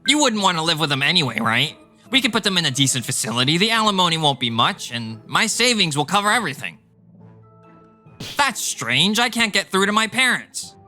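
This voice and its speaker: A man's voice: high voice, very animated, a little fast, sharp tone, faint music behind, heavily acted. A man voicing an arrogant, callous, easily irritated husband in a manga dub, dismissive and self-important. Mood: smug, casual. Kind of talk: dramatized manga dub dialogue.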